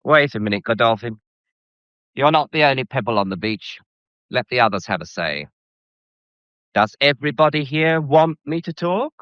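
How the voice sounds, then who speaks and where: An actor playing an insolent, scheming English blackmailer in a mystery radio drama, talking with smug, deliberate menace. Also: male voice, medium pitch, expressive, dry recording, lightly acted. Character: insolent, scheming male gallery employee turned blackmailer. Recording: dull, muffled radio drama recording.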